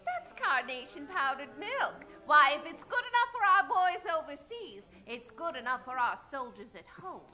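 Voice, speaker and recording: A woman's voice: high voice, sing-song, moderate pace, few pauses, pushed and bright, full character voice. A woman playing a genteel, chirpy housewife in a syrupy, theatrical parody of a 1940s radio commercial. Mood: sweetly persuasive.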